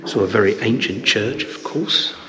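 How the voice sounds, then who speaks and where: A man's voice: lowish voice, expressive, normal speed, a crowd in the background. Chatty man vlogging on a walk. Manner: chatty, enthusiastic asides. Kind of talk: casual walking vlog. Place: village church and lanes, outdoors. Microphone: handheld camera mic at arm's length.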